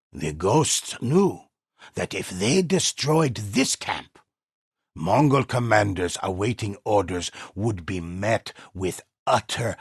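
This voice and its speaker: Solemn old legend teller from a game, super husky, slow and deliberate, dry close sound. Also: male voice, low voice, dry recording, exaggerated voice. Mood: grave, resolute. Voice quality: husky, raspy. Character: grave old male storyteller recounting warrior legends. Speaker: game narrator. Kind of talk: dramatic scripted storytelling narration.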